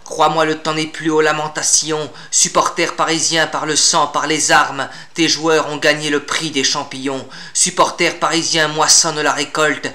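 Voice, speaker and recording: A man's voice: fairly high pitch, level tone, measured pace, hardly any pauses, bright, forceful tone. A man reciting formal classical verse in a solemn, declamatory and grandiloquent manner. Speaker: poet reciting own poem.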